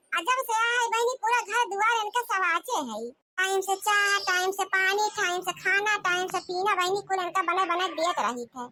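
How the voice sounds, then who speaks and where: A woman's voice: very high-pitched, pushed and bright, exaggerated voice. A woman voicing a sly young village daughter-in-law in a comedy cartoon, complaining and mocking in a gossipy way with an Awadhi accent. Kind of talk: comic cartoon monologue.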